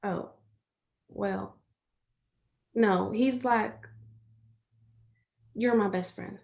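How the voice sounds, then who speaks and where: A woman's voice: mid-range voice, very slow, vocal fry, darkish tone. A woman playing a caring country girl in a stage play, folksy and direct with her best friend. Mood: coaxing.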